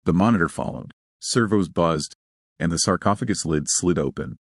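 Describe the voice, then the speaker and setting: A man's voice: sits low, expressive, normal speed, breathy edge, no room sound, studio quality. A man reading scripted dramatic narration of a science fiction horror story.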